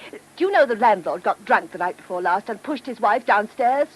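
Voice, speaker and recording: Swooping, indignant English wife. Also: female voice, mid-range voice, expressive, moderate pace, husky, low-quality recording. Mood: indignant, complaining. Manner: indignant, complaining, emphatic. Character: aggrieved, nagging wife of a police inspector. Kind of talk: radio play acting. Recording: hissy old radio drama recording.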